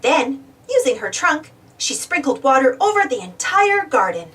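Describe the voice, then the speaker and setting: A woman reading a children's storybook aloud in an animated narration style. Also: high voice, normal speed, a little hiss or hum. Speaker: storybook reader. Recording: slightly distant mic, music bed. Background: music.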